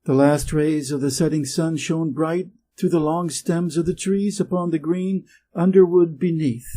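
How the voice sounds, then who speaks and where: Casting: American male storybook reader, mellow dark tone, slightly husky, clear pacing for learners. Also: a little high. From Wisconsin, USA. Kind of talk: storybook reading.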